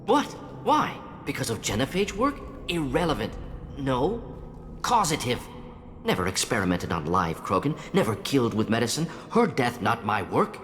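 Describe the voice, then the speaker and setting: A brilliant alien doctor from a video game, with a lowish voice and clipped, telegraphic delivery. He sounds analytical and defensive, weighed down by remorse. The voice rings with long reverb over music. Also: very animated, fairly quick, hardly any pauses, fairly bright tone. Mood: agitated. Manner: clipped telegraphic phrases, dropping small words, analytical. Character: fast-thinking alien scientist doctor, brilliant, defensive, remorseful male Salarian. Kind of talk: video game cutscene acting.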